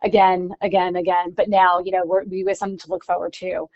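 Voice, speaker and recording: A woman's voice: fairly low pitch, little pitch movement, quick pace. A woman speaking casually as an interview guest, candid and informal, thinking aloud as she goes, on slightly lo-fi audio. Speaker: newspaper columnist, interview guest.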